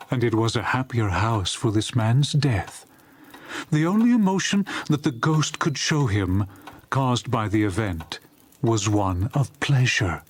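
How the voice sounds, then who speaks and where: Male narrator for dramatic audiobook: solemn, mid-pitched, widely varied intonation. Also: a little hiss or hum. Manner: solemn, expressive. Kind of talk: dramatic scripted storybook narration.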